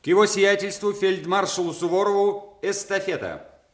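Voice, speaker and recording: A male actor as a dutiful army courier in a radio drama. His voice is a little high. He speaks stiffly and formally, with an uneasy edge. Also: steady tone, normal speed, a little hiss or hum, close to an everyday voice. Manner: formal military address. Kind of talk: Soviet-era radio drama acting.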